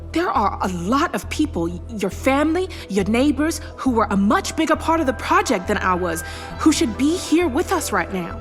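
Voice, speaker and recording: A woman playing a sharp-tongued, defiant small-town scientist with a folksy, commanding manner in an audio drama. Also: fairly high pitch, close to an everyday voice.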